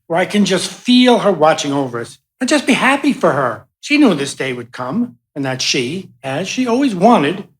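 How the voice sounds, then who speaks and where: A man acting in a radio play as a grieving, hopeful young son giving an earnest, measured eulogy for his mother. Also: high voice, big pitch swings. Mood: earnest, tender.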